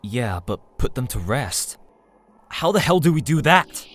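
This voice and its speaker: A man's voice: mid-range voice, big pitch swings, a little slow, fairly bright tone, faint music behind. A man voicing a blunt, brash teenage schoolboy in an anime-style game dub. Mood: puzzled.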